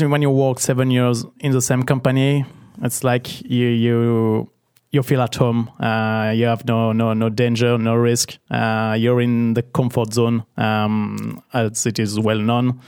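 A man's voice: mid-range voice, flat delivery, unhurried, darkish tone, clean tone, no room sound, studio quality. A man in his thirties with a French accent, a native French speaker, talking as an interview guest and hesitating, restarting and repeating words. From Paris, France. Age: 30s. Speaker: guest, business founder. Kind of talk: casual interview.

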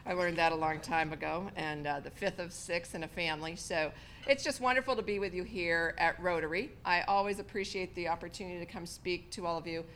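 A woman's voice: on the low side, brisk, a bit bright, slight background noise. A middle-aged woman with a big, strong voice giving a gracious speech to a luncheon audience in a hotel banquet room. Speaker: county official giving a keynote.

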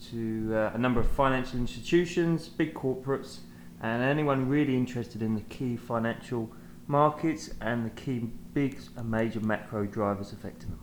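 A man with a British accent from England, talking as a podcast guest in a halting way, with many fillers and restarts. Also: medium pitch. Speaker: guest, sales manager. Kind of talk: conversational podcast interview. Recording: echoey room, faint noise.

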